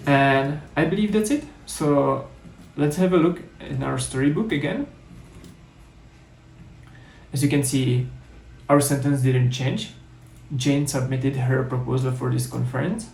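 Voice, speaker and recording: A man with a Czech accent talks through a coding demo at a conference, methodical and explanatory, circling back to restate points. His mid-range voice has a gravelly, creaky texture. The sound is roomy, with noticeable echo around him. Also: medium pitch, measured pace, a little mellow. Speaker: conference speaker. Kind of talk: technical coding talk with live demo.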